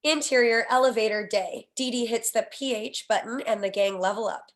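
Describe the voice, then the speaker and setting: Higher-pitched woman reading stage directions. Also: normal speed. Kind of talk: scripted narration of screenplay directions.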